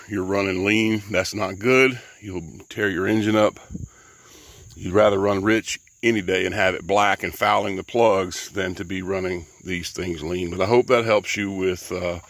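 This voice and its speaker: A man's voice: lowish voice, a bit bright, no room sound. A man, casually walking through a jet ski repair outdoors, folksy and rambling with many fillers. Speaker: DIY mechanic. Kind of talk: casual how-to walkthrough.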